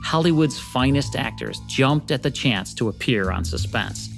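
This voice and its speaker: A man's voice: mid-range voice, animated, moderate pace, vocal fry. A man with an American accent hosting a podcast, reading polished scripted narration. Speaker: podcast host. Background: music.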